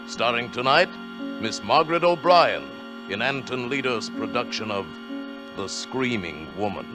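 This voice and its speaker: A mid-pitched man makes a portentous 1940s radio show introduction. Loud music plays behind his dramatic announcing on the old broadcast. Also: lively intonation. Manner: slow, portentous.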